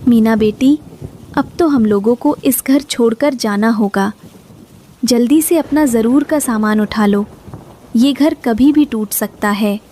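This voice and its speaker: Woman voicing a soft-toned, gentle mother bird. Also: fairly high pitch, measured pace, a little hiss or hum, lightly acted. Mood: urgent, concerned. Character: gentle, worried mother bird, humble and caring. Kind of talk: Hindi cartoon dubbing.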